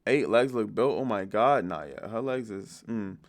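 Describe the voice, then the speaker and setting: A man's voice: on the low side, animated, moderate pace, slight background noise. A man giving casual, off-the-cuff reaction commentary as a video host, blunt and full of swearing and slang.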